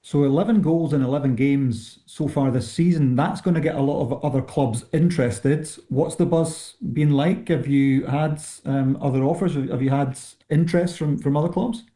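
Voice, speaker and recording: A man's voice: fairly high pitch, normal speed, hardly any pauses, dark tone. A man interviewing a footballer, asking direct, probing questions.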